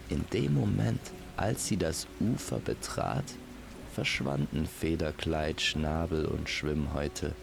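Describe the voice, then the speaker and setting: A man's voice: low voice, gravelly, noisy background. A man narrating a scripted fairy tale as a storyteller.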